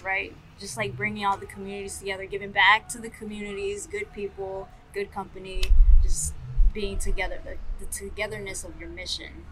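A woman's voice: medium pitch, little pitch movement, fairly quick, background noise. A woman co-hosting a casual interview, speaking informally and with enthusiasm. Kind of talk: casual chat.

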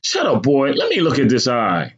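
A man's voice: fairly high pitch, wildly swooping pitch, normal speed, fairly bright tone, breathy edge, highs cut off, voice pushed up, exaggerated voice. A man voicing a stern, scolding mother in a storybook, snapping out orders with exasperation. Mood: exasperated. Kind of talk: acted audiobook dialogue.

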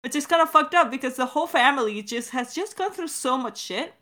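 A woman with a fairly high, bright and forceful voice talks casually about creative work. She is effusive but halting, circling toward her point and swearing freely along the way. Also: animated. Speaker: podcast creator answering questions. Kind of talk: casual behind-the-scenes discussion.

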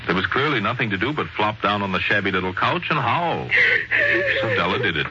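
A man narrating a story in dramatic 1940s radio style, with a theatrical, wry, measured delivery. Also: fairly low pitch, big pitch swings. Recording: muffled, noisy 1940s radio recording.

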